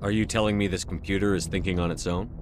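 A man's voice: deep voice, fairly even intonation, fast talker, over music, lightly acted. A man playing a direct, questioning human military commander in a video game. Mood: probing, direct. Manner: direct, probing. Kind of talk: video game character dialogue. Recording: clear background noise, vehicle ambience.

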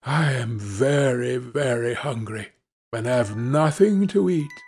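A man's voice: mid-range voice, lively intonation, slow pace, darkish tone, breathy edge. A man voicing a hungry, weary, sorrowful male sheepdog in a fairy tale, with plain delivery. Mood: weary, plaintive.

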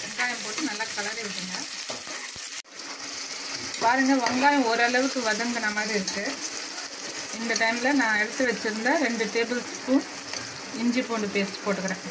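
A woman with a fairly high voice talks viewers through a recipe at her stove, taking it one step at a time and speaking to them directly. The recording has clear background noise and kitchen sounds. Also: steady tone. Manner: unhurried step-by-step instructions, addressing viewers directly. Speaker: cooking channel host. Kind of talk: home cooking demonstration. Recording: noisy home recording.

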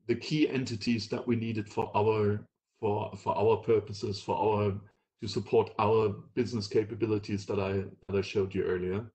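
A man's voice: fairly low pitch, a little mellow, poor audio. A man presenting informally on technical material, often repeating and rephrasing himself as he goes. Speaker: presenter at a fintech meetup.

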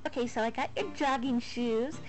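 A woman's voice: on the high side, moderate pace, very noisy. A woman improvising a comedy fandub in a goofy, mumbling way on a home microphone. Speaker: amateur dubber ad-libbing over cartoon clips. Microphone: USB desktop microphone. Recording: music behind a home USB microphone recording. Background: film music.

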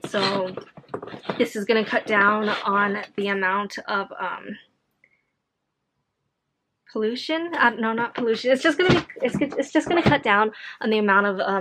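A woman's voice: mid-range voice, a bit bright. A tired young woman vlogging casually to camera from her home office, chatty and rambling with many fillers. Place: home office room, indoors.

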